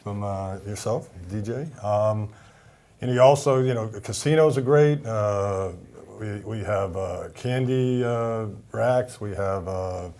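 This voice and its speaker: A man's voice: fairly low pitch, slow, mellow. A man with a Boston accent, a guest on a TV talk show, talking casually with lots of fillers and restarts. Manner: rambling, many fillers and restarts. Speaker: event center owner, guest.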